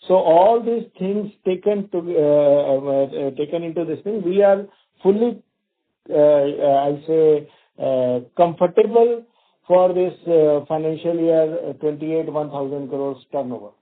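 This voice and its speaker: A man's voice: on the high side, measured pace. A male company executive, answering analysts' questions on an earnings call, hesitant with many fillers and restarts. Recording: tinny phone line.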